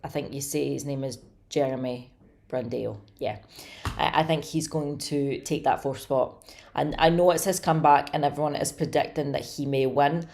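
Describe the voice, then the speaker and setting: Woman, low voice, gravelly fry, raspy, slightly hoarse, casual enthusiastic chat. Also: fairly even intonation, normal speed, a little mellow. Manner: chatty, enthusiastic, many fillers. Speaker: bodybuilding commentator. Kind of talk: casual prediction talk to camera.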